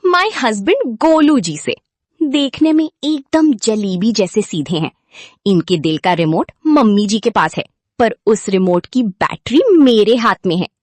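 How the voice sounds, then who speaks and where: A woman acts as a chirpy modern young daughter-in-law in a cartoon. Her high voice swings up and down playfully, in a cheeky vlogger style, recorded close and dry. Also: a little mellow, no echo. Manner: playful, cheeky, mixes in English slang. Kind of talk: cartoon vlog-style storytelling.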